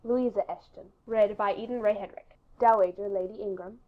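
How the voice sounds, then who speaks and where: A woman's voice: medium pitch, fairly even intonation, a little fast, lo-fi sound. A woman reading a literary audiobook in a formal, precise and emphatic way. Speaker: audiobook narrator. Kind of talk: scripted literary audiobook narration.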